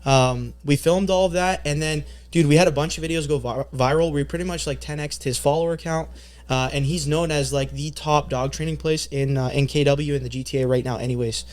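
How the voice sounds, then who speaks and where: A young man from Canada, a podcast guest, telling stories in relaxed slang with plenty of fillers. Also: fairly high pitch, brisk. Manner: laid-back storytelling with lots of fillers and slang. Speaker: podcast guest, marketing agency owner. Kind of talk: casual podcast chat.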